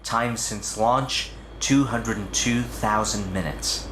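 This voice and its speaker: A man's voice: lowish voice, slow pace, a bit bright. A man playing a precise, literal-minded spaceship crewmate, speaking matter-of-factly in a scripted audio drama. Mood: procedural. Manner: matter-of-fact, exacting. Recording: roomy echo, faint background noise.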